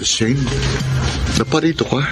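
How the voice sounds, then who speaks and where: An actor with a Filipino accent playing a stubborn, defensive young workaholic in a radio drama. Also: male voice, medium pitch, unhurried, music in the background, natural voice. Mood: flustered, defensive.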